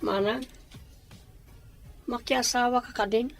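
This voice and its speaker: A woman's voice: on the high side, fairly even intonation, slow, soft background music. A woman acting a worried, respectful younger sibling in a radio drama, with an Ilocano accent. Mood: worried, hesitant.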